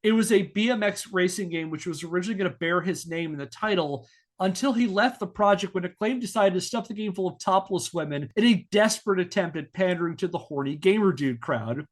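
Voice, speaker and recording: A man's voice: high voice, brisk. A man hosting a podcast, reading scripted narration with casual asides in a wry, emphatic storytelling manner. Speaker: podcast host.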